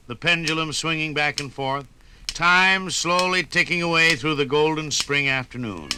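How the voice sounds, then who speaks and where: Very bright-voiced American radio storyteller, male. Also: fairly high pitch, a little hiss or hum. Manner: dramatic, evocative storytelling. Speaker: narrator. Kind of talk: nostalgic 1950s radio narration. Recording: 1950s radio recording.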